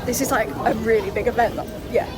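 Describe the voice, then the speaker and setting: A woman chatting casually and excitedly to her followers in a gushing vlog style. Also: a little high, a little fast, over a noisy crowd. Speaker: vlogger. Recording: loud noisy crowded public space.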